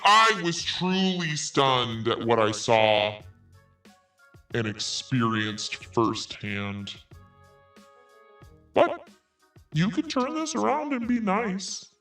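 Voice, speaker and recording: A man hosting a commentary podcast, reading messages aloud with biting sarcasm. His voice sits a little high and jumps around in pitch as he lingers over the words. He sounds set back from the microphone, with faint music underneath. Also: big pitch swings, extremely slow, long pauses, pushed and bright, recorded from a distance. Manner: sarcastic, swearing, laughs through reading. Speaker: podcast host.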